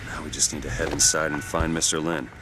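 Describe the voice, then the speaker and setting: An actor playing a world-weary, gruff American detective for hire in a video game, terse and wry. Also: male voice, very deep voice, level tone, fast, over loud music. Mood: dry, wry. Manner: terse, wry, matter-of-fact. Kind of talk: naturalistic video game acting. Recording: clear background noise of game ambience.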